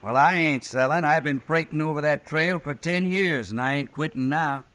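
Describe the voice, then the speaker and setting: A man's voice: on the high side, moderate pace, a bit bright, close to an everyday voice. A man playing an honest, stubborn mule driver with a rural American accent, plain-spoken, in an old-time radio western. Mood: defiant. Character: honest, stubborn mule-driving freight hauler.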